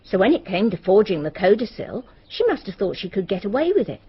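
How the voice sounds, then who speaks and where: Knowledgeable local, English accent, husky, matter-of-fact, radio drama, slightly muffled recording. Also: female voice, mid-range voice, very animated, a little fast. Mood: explanatory. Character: well-informed, helpful Englishwoman recounting local crimes.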